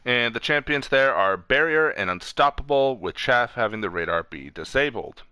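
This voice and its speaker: A man's voice: medium pitch, animated, moderate pace, pushed and bright, clear, resonant tone, no room sound. A man hosting a casual gaming stream, reading off lists informally, stumbling over words and correcting himself. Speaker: streamer host.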